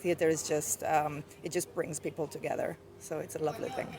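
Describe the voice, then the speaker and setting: A woman from Iran whose first language is Farsi, a guest poet talking thoughtfully in a casual interview outdoors, with soft fillers. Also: low-pitched, little pitch movement, a little fast. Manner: reflective, with soft fillers and light laughs. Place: outdoors outside a bookshop.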